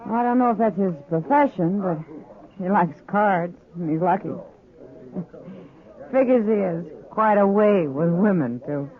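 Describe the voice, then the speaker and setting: An actress playing a worldly, relaxed frontier saloon woman, casual and knowing, in a 1950s radio western. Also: medium pitch, unhurried, natural voice. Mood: knowing, offhand. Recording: tinny vintage 1950s radio recording.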